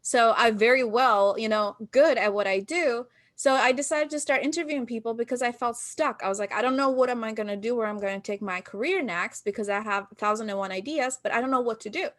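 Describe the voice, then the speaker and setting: A woman from Albania, in her thirties, speaks in a fairly high voice as a podcast guest. She tells stories with energy and often acts out quoted dialogue from herself and other people. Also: brisk, hardly any pauses, fairly bright tone. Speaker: guest, marketing business founder. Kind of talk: casual podcast interview.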